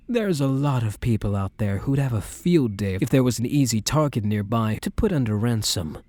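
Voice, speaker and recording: A soft, dark-toned young crime boss acted by a man, talking tenderly to a partner in a boyfriend roleplay. His delivery is measured and protective, recorded very dry and intimate. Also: mid-range voice, no echo, natural voice. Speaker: roleplay voice performer. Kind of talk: boyfriend ASMR audio roleplay.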